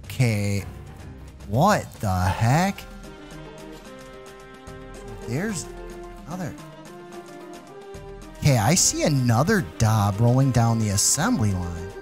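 A man hosting a gaming video, giving excited, exclamatory gameplay commentary with playful mock alarm as he addresses his viewers. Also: medium pitch, a little slow, long pauses, soft background music.

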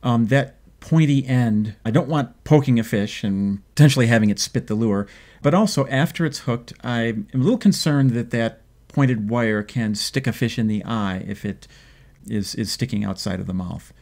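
Measured middle-aged man explaining fishing. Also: medium pitch, creaky, a little mellow. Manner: measured, explanatory, frequent ums and uhs. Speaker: fishing video host. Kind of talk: instructional fishing talk. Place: indoor home office.

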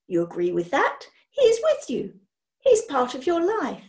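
Voiced by a woman, this witch is brisk, eccentric and easily offended. Her high voice swoops about wildly. She gushes theatrically and climbs into squeaks when outraged. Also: wildly swooping pitch, darkish tone. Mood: lecturing.

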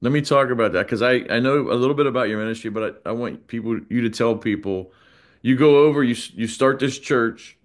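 A man's voice: medium pitch, brisk. A man in his fifties with an American accent, hosting a casual interview over a video call. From United States. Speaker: interview host. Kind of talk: casual chat. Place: small indoor room, on a video call.

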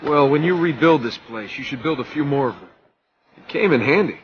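An actor playing a sarcastic young rogue adventurer who talks in an offhand, wry way. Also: male voice, fairly high pitch, animated, a little fast, highs cut off, slight background noise, natural voice.

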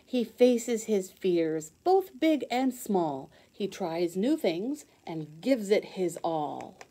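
Slow, expressive woman reading to kids. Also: medium pitch. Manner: expressive, sing-song rhymed reading with clear emphasis. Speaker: teacher reading aloud to kids. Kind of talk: children's storybook read-aloud.

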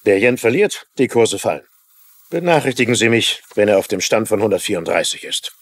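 A man's voice: on the low side, brisk. A man playing a curt, dismissive business tycoon in a dubbed TV crime drama, formal and brusque.

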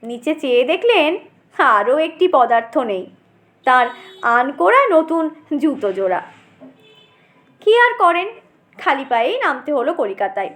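High-pitched, slow, animated woman storyteller. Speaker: storyteller, audiobook narrator. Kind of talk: expressive scripted story narration in a humorous tone.

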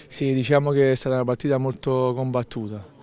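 Calm young defender, clear voice. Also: male voice, medium pitch, brisk. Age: young adult. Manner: calm, repeats phrases. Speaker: football defender. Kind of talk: post-match interview. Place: outdoors by a football pitch. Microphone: handheld interviewer mic.

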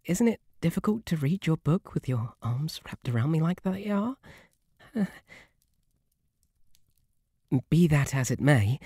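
Breathy, flustered British bunny butler, acted by a man. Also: mid-range voice, dark tone, dry recording, clean studio sound. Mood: gently concerned. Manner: flustered, deferential, formal, stammering. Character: shy, devoted, submissive male bunny butler. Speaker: roleplay audio performer. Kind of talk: intimate ASMR roleplay acting. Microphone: close, dry microphone.

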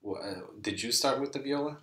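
A man hosting a music podcast, asking questions in a halting way with many fillers and restarts. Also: medium pitch, a bit bright. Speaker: podcast host, conductor. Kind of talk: casual interview. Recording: echoey room.

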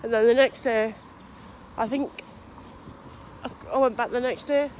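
A woman's voice: fairly high pitch, fairly even intonation, a little slow, a little mellow. A young woman with a cold, talking through a thick, clogged throat in a hesitant, rambling vlog update while walking outdoors. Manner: rambling, hesitant, many restarts and fillers. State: has a cold, sore throat, runny nose. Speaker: vlogger. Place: outdoors on a street, walking dogs. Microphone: phone held low at arm's length. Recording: phone recording outdoors with traffic noise.

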